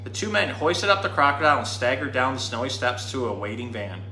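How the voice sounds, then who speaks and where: A man in his thirties reading a children's story aloud in an animated, dramatic storytime manner. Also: mid-range voice, brisk. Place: indoor room. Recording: roomy indoor sound with faint noise.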